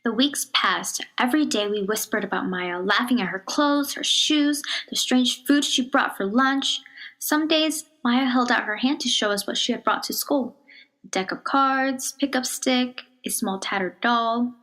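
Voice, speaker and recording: A woman with a fairly high voice reads a children's picture book aloud. Her storytelling is measured and expressive, with some phrases softened toward a hush. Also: low-quality recording. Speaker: storybook reader.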